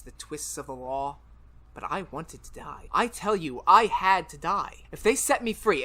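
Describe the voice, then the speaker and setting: A man playing a tormented, guilt-ridden murderer in melodramatic, feverish 1930s-style radio horror, swinging from hushed to shouting. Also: a little high, exaggerated voice. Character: tormented guilt-ridden male murderer hounded by phantom voices.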